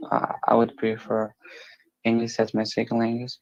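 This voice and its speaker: A man from Nepal chatting over an online call, relaxed and friendly, using many fillers. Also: medium pitch, moderate pace. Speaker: online chat partner. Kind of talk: casual chat. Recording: dull, lo-fi online call audio.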